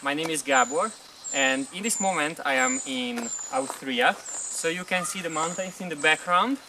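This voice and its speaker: A young Hungarian man with a Hungarian accent, chatting in halting learner English for a casual vlog outdoors in the mountains, laughing often. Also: on the high side, animated. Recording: distant mic with loud background noise.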